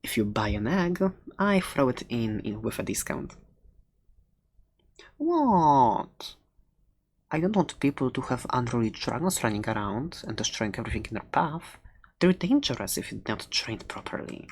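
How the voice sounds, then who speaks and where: A woman playing a friendly, chatty fantasy shopkeeper in a soft ASMR roleplay, playful and hesitant as she rambles through her sales pitch. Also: big pitch swings, measured pace, slightly rough, exaggerated voice. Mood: reassuring, playful.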